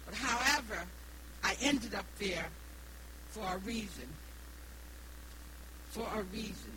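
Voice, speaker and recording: A woman in her sixties with a New England accent preaches haltingly, repeating phrases and stressing key words. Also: mid-range voice, animated, sharp tone. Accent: New England American. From Rhode Island, United States. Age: 60s. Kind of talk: evangelical sermon with personal testimony. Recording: noisy live recording with hum.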